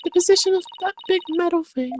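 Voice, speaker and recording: A man voicing a soft, hesitant young female defendant in a courtroom game. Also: extremely high pitch, fast, a little hiss or hum, voice pushed up. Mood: timid. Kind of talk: dramatic visual novel courtroom reading. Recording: game music behind the voice.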